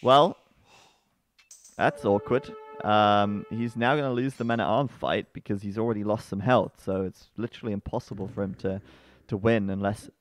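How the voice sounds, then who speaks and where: A man commentating a strategy game match live, chatty and full of fillers, breaking into excited outbursts at the action. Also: moderate pace. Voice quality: bassy-sounding voice. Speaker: esports commentator.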